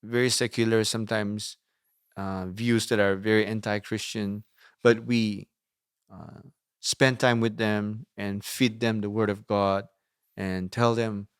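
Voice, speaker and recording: A young adult man, a non-native English speaker, explaining things in a measured way as an interview guest on youth ministry. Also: fairly low pitch, flat delivery, smooth, no echo, clean studio sound. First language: not English. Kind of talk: conversational interview about ministry.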